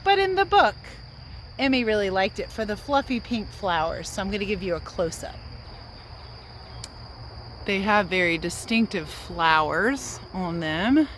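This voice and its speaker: A woman's voice: medium pitch, big pitch swings, unhurried, fairly bright tone, a little hiss or hum. A woman reading and explaining to young viewers as a children's read-aloud teacher and host.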